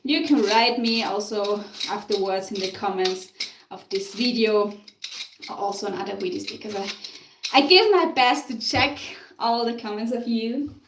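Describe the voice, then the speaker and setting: A fairly high-voiced woman from Tyrol speaks English with an Austrian German accent, chatting casually to her viewers while she bakes. She sounds roomy and distant, as if caught by a camera mic across an echoey home kitchen. Dishes and cutlery clatter clearly behind her. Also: normal speed, background noise. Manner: chatty, answers viewer comments, laughs often. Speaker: live-stream baking host. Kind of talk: casual live-stream chat while baking. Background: clinking dishes and cutlery.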